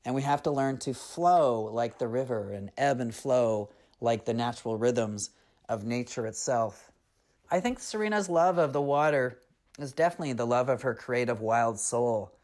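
A storyteller narrating and reflecting on a tale in an earnest, unhurried, reflective way. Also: mid-range voice, animated, darkish tone.

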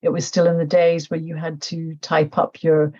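A woman's voice: sits low, monotone, normal speed, mellow, breathy edge, muffled recording. A middle-aged woman from Nepal, an author talking reflectively in a casual interview, with many fillers and restarts. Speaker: author, podcast guest.

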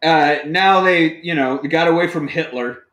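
A man's voice: fairly high pitch, unhurried, a bit bright. A man hosting a podcast, recapping a movie in a casual, joking, profane way. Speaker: podcast host. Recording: roomy echoey room.

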